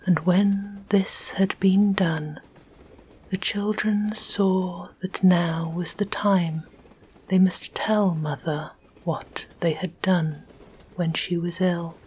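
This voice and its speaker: A woman narrating a classic children's book in a soft whisper, in an ASMR reading. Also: fairly low pitch, breathy edge, poor audio. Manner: soft, even, unhurried. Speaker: audiobook narrator.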